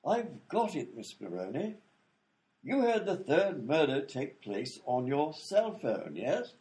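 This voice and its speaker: A fussy, long-winded inventor doctor, played by a man, explains everything at length in a comedy radio play. His fairly high voice leaps around in a very animated way. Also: takes it slowly. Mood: explaining. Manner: explains at length with fussy fillers like "you see".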